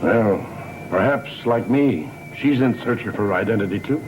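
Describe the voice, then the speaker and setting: An actor playing a courtly, enigmatic older father in a gothic soap opera, speaking formally and with measured courtesy. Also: lowish voice, lively intonation, muffled recording, very noisy, close to an everyday voice. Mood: measured, probing. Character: courtly, enigmatic old father returned after long absence. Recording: vintage TV soundtrack, loud music.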